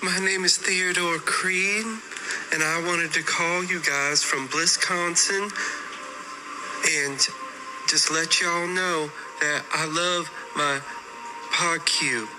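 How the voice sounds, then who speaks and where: A man's voice: a little high, steady tone, takes it slowly, over music, poor audio. A man voicing a sweet, folksy, earnest customer giving an oddly formal comic testimonial. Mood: blissful, earnest.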